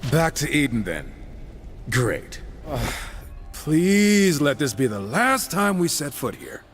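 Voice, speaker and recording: Cocky young male game hero: lightly high voice, very animated, sarcastic, music behind. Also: takes it slowly, heavily acted. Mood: grumbling. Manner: sarcastic, flippant. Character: cocky, wisecracking young gunslinger horseman. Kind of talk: video game cutscene acting.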